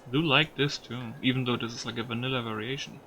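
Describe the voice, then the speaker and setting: A man's voice: mid-range voice, steady tone, quick pace, bright, forceful tone, a little hiss or hum. A man giving casual video game commentary, musing aloud with some mild swearing. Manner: musing aloud, mildly profane.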